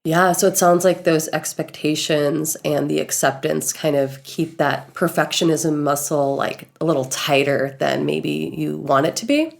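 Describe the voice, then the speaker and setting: A woman's voice: low-pitched, fairly even intonation, a little slow, vocal fry, hardly any pauses. A young woman co-hosting a casual podcast, sounding nasal and stuffed up from illness, playful and giggly. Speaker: podcast co-host, healing coach.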